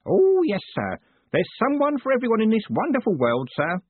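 A man's voice: high-pitched, wildly swooping pitch, moderate pace, husky, no echo, pitched above the performer's own voice, full character voice. A man voicing an eager, deferential post office clerk, cheerful and proud, who calls everyone sir. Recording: dry, tinny phone-like sound.

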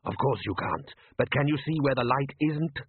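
A man voicing a grave, knowing lord with a deliberate, authoritative manner, in a storybook reading. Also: mid-range voice, slightly rough, no room sound, narrow, tinny audio, lightly acted.

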